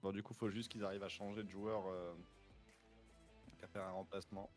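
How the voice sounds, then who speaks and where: A man's voice: sits low, flat delivery, brisk, faint music behind. A man giving laid-back, teasing color commentary on a live esports match. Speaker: esports co-caster.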